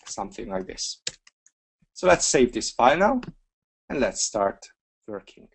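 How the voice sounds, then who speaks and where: A Hungarian man walking through a software feature step by step in a webinar screencast, relaxed and talking through each click with a few fillers. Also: mid-range voice, unhurried, vocal fry, slightly rough. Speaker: software trainer.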